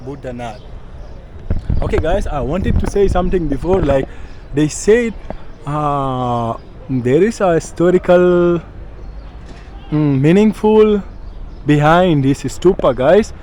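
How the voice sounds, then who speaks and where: A man's voice: fairly high pitch, lively intonation, slow, warm tone. A young man from Sudan, vlogging casually outdoors at a temple and talking straight to his viewers. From South Sudan. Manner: chatty, keeps addressing viewers as guys. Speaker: travel vlogger. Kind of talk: casual travel vlog. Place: outdoors at a busy temple site. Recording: street noise outdoors.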